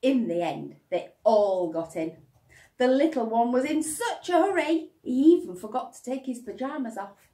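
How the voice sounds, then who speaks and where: A woman's voice: on the high side, lively intonation, normal speed, warm tone. A woman narrating a children's storybook like a teacher reading aloud. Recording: echoey room, slightly distant microphone.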